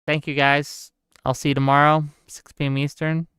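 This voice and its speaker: Smooth-voiced man in his forties. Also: a little high, level tone, moderate pace, a bit bright, no room sound, clean studio sound. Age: 40s. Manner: conversational, answers chat questions, thinks aloud. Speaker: livestream host. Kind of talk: casual political chat with live chat.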